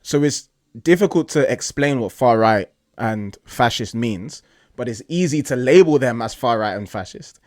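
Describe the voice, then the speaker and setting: A man's voice: medium pitch, animated, moderate pace, dry recording, clean studio sound. A man giving pointed, wry voice-over commentary on a video as its host.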